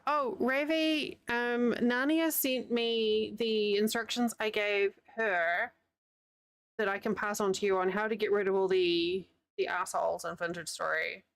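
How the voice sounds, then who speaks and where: Chatty New Zealand woman, gravelly voice. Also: mid-range voice, fairly even intonation, measured pace, fairly bright tone. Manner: chatty, rambling, laughs often. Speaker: streamer. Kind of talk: casual chat with live chat while crocheting. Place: home, living in the United States.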